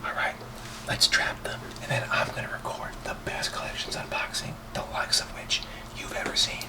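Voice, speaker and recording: A man playing a sly, conspiratorial schemer in a comic web video, speaking in hushed whispers. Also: normal speed, far from the mic, natural voice. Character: sly, conspiratorial schemer plotting a show takeover.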